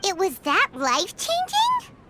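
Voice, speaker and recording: Squeaky, high-pitched little fairy companion. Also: female voice, wildly swooping pitch, measured pace, heavy background noise, heavily acted. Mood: curious. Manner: refers to self in third person, blunt. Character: small childlike floating fairy companion, chirpy and opinionated. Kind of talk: English-dubbed anime game voice acting.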